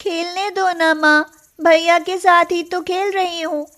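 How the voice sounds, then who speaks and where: A woman voicing a sweet, pleading little calf girl in an animated moral story. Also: extremely high pitch, takes it slowly, heavily acted.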